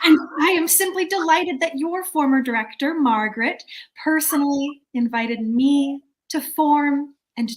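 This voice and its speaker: A woman's voice: high voice, lightly acted, rough recording. A woman playing a prim, fussy newcomer chairing a committee in a comic play reading, speaking with formal over-politeness.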